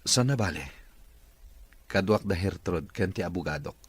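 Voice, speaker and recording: A man's voice: low voice, expressive, moderate pace, breathy edge, dry recording, full character voice. A man with an Ilocano Filipino accent playing a stern, wealthy boss who uses a wheelchair, in a radio drama. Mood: commanding.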